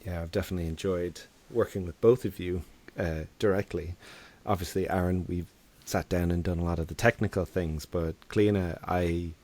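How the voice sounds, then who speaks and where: Low-voiced man, chatty interviewer. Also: fairly even intonation, normal speed, hardly any pauses, a little mellow. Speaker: interviewer, software engineer. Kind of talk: casual conversational interviewing.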